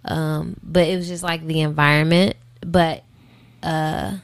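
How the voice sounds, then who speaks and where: A woman's voice: low-pitched, flat delivery, measured pace, creaky. An American woman speaking casually as an interview guest, informal and reflective, thinking aloud as she answers. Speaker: interview guest, singer and rapper.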